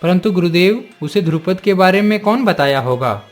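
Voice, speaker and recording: A gentle exiled king acted by a man in a cartoon tale. He is patient and forgiving, speaking formally in a moderately high voice over faint music. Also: lively intonation, normal speed. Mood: respectful. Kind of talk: Hindi cartoon moral-story acting.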